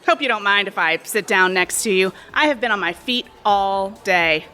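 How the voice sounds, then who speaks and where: A woman's voice: mid-range voice, moderate pace, bright, forceful tone, soft background music, lightly acted. An actress playing a chatty, pushy, sly cocktail waitress in her thirties, breezy and overly familiar, in an audio drama. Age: 30s. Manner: breezy, familiar, insinuating, talkative.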